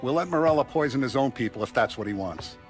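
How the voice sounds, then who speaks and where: An actor playing a calm, authoritative mafia boss who lays down rules in a measured, lecturing way, in a game cutscene. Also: male voice, medium pitch, natural voice. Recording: faint music behind.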